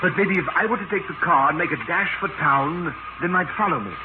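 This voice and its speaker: An actor playing a fearful, obsessed professor with intense, brooding delivery in 1940s radio drama. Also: male voice, on the high side, a little fast, close to an everyday voice. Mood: grim resolve. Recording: scratchy tinny 1940s radio, loud music.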